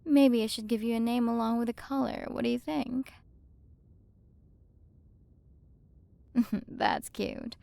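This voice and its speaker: A woman playing a haughty, curious alien galaxy queen in an ASMR roleplay, soft and condescending, possessive of her human. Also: fairly high pitch, moderate pace, vocal fry. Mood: smug, possessive. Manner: soft, condescending, teasing, at times whispered.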